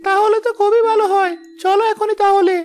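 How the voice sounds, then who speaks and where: A performer voicing an eager, pleading little village boy in an animated moral story, earnest and coaxing. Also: extremely high pitch, brisk, faint music behind. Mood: cheerful. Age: child character.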